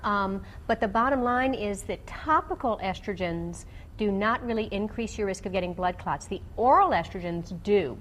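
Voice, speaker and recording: A woman doctor giving explanatory, technical medical advice to callers on a radio call-in show, with hesitant pauses. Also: mid-range voice. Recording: clearly noisy background.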